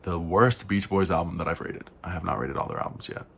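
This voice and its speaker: A young man with a deep voice talking casually through album reviews, frank and rambling, correcting himself with sighs and asides. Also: fairly quick, creaky, fairly bright tone, slightly rough. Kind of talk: casual spoken album rundown.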